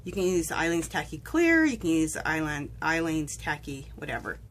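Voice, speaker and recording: A woman's voice: sits low, sing-song, moderate pace, fairly bright tone, a little hiss or hum. Chatty woman with a cold, crafting. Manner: chatty, rambling, self-correcting. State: fighting a cold, sniffling. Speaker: crafting vlogger. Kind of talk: casual tutorial chat. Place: home craft table, indoors.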